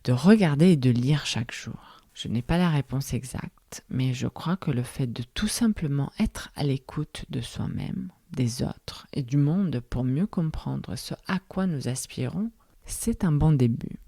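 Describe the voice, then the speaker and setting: A woman's voice: rumbling low, expressive, unhurried, slightly rough, no room sound, clean studio sound. A woman in her thirties, a podcast host speaking in a language that is not her first, delivering a thoughtful, questioning monologue. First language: not French. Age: 30s. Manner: thoughtful, questioning, soft-spoken.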